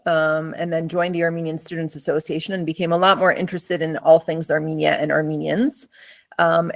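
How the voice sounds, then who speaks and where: A woman in her forties, from Iran, giving a conversational webinar presentation over a video call with plenty of ums and lively asides. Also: low voice, no echo. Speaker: program director presenting.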